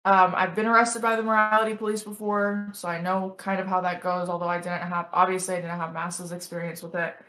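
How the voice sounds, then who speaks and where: A woman's voice: on the low side, little pitch movement, quick pace, few pauses, pushed and bright, reverberant. A young American woman, a political streamer, talking earnestly in a casual conversation with many ums and restarts. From United States.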